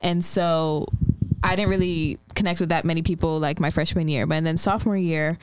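A young woman in her twenties from Maryland, a student guest in a casual chat. Her voice is low-pitched and very smooth and clear, recorded close and dry. She talks in a friendly, hesitant way, filling pauses with "um". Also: steady tone, no echo. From Maryland, USA. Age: 20s. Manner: friendly, hesitant, many ums.

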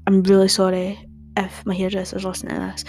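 Chatty young woman, gravelly edge. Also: mid-range voice, brisk. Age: young adult. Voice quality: soft, calming voice. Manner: chatty, rambling, many "um" and "like" fillers. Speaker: podcast host. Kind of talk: casual podcast chat.

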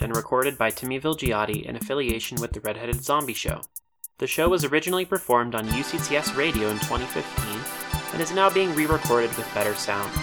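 Outro: male show creator, mid-range voice, scripted credits read over prominent, loud music. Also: medium pitch.

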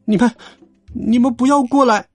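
A man's voice: fairly high pitch, big pitch swings, moderate pace, darkish tone, slightly rough, dry recording, faint music behind. A man voicing a plucky, bluffing schoolboy who is the hero of a children's story. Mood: frightened.

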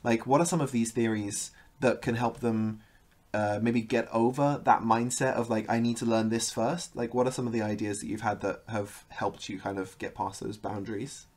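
A man hosts a casual interview with a voice of average pitch. He reflects aloud in a measured way and sometimes hesitates as he works through his thoughts. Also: steady tone, darkish tone. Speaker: podcast host.

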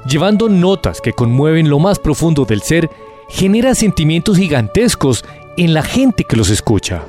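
Male narrator, solemn, lyrical, scripted, higher voice, very animated pitch. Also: moderate pace, over music. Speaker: news narrator.

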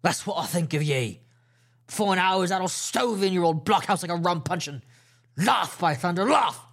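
A cunning old one-legged pirate, put on by a male narrator blusters in a rough seafaring dialect. The voice sits higher and louder than a plain reading voice, bargaining and threatening by turns in a storybook reading. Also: fairly quick. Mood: furious. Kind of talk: audiobook character voice.

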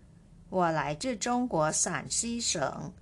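A woman teaching a language lesson, slowly repeating each word for listeners to practice. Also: fairly low pitch, lively intonation. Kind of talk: slow lesson with drills.

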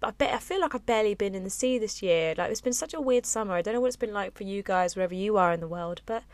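A young woman talks through a casual solo podcast, wandering from one thought to the next with sighs and fillers. Her voice sits in an ordinary middle pitch but sounds low and slightly husky today. The recording is dry and close, with no room sound. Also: fast, no echo. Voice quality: low and a bit husky after a late night. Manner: rambling, chatty, with sighs and frequent ums. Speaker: podcast host. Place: on a boat, small space.

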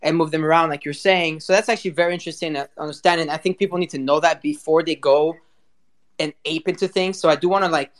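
A man's voice: fairly high pitch, fairly even intonation, quick pace. A man hosting a casual live voice chat, talking in an informal, rambling way and cutting into his own sentences. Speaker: host.

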